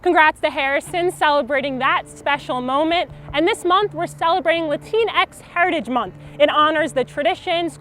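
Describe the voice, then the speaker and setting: A woman's voice: high-pitched, a bit bright. A woman hosting a sports show, reading lines in an upbeat way. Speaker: host.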